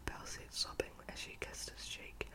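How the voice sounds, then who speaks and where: A young woman whispering breathily and close to the mic for ASMR, hushed and informal as she tells stories and talks to viewers. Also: normal speed. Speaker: ASMR storyteller and channel host. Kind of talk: whispered ASMR, reading a storybook and chatting to viewers. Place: at home indoors. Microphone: close-up microphone.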